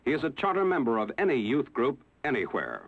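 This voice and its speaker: A man narrating a 1960s newsreel in a measured, formal announcer style. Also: mid-range voice, wildly swooping pitch, breathy edge. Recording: tinny lo-fi old film soundtrack with music.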